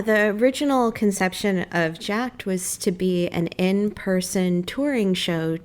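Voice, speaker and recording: A woman speaking as a theater director and interview guest, measured and careful in her phrasing. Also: mid-range voice. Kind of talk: thoughtful radio interview.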